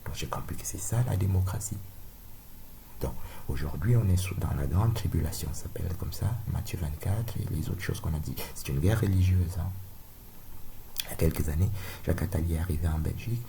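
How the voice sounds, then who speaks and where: Deep gravelly man. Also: fairly quick, dark tone, slight background noise. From Cameroon. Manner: digressive, peppered with tag questions, insistent. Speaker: video lecturer. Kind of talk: rambling spoken monologue.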